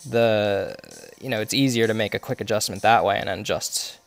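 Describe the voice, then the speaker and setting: A man's voice: on the low side, steady tone, moderate pace, soft background music. A man streaming a mobile golf game, explaining each of his shots step by step with many fillers while congested and coughing now and then. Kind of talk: live gameplay commentary.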